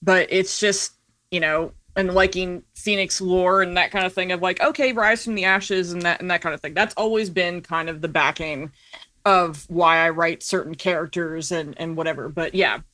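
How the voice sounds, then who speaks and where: A woman speaking as a guest in a casual interview, explaining things loosely with halting asides that trail off. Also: fairly low pitch, fairly even intonation, bright, forceful tone. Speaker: podcast guest and filmmaker.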